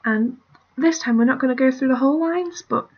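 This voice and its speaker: Chatty British woman, husky and lo-fi. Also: high voice, fairly quick. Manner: chatty, rambling, drops to mutters and whispers. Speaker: art tutorial creator. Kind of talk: casual screen-recorded drawing tutorial. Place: at her family home, indoors. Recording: dull lo-fi home recording.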